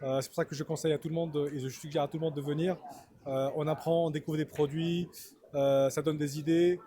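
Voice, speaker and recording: A man answers interview questions in a measured, hesitant way, filling his pauses. His voice sits a bit high for a man. The interview takes place at a trade fair. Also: little pitch movement, mellow. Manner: hesitant, many fillers, measured. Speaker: importer, interview guest. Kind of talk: casual spoken interview. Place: busy trade fair hall.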